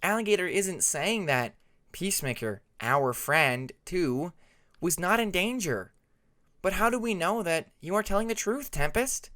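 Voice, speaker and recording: A man's voice: high voice, lively intonation, measured pace, a bit bright, pitched above the performer's own voice. A man voicing a suspicious young dragon who argues in a pointed, challenging manner in a storybook narration. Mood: pointed suspicion.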